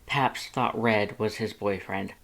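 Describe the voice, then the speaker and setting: Fan fiction narrator, mid-range voice, dramatic and expressive read. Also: mid-range voice, slow, creaky. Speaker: audiobook reader of fan fiction.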